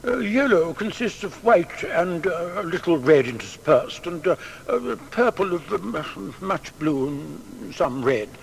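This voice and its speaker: An actor playing a hesitant, pedantic seventeenth-century scholar in a dramatised audio biography. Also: male voice, high-pitched, sing-song, a little slow, creaky, hardly any pauses, slight background noise, full character voice, poor audio. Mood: hesitant, unsure. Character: Isaac Barrow, hesitant male 17th-century scholar and mentor.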